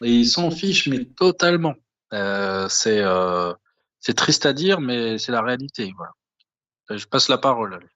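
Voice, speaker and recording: A man's voice: medium pitch, fairly bright tone. Man in his thirties on an echoey call. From Algeria. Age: 30s. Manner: rambling, filler-heavy. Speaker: caller. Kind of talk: casual call-in chat. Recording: echoey, distant, dull internet call line.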